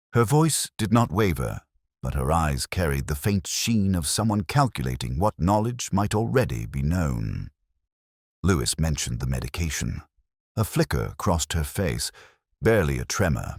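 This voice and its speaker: A man with an exceptionally deep voice narrates a detective story in the first person. His delivery is measured and brooding, yet the pitch moves expressively. The sound is dry, with very little room to it. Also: creaky, no echo.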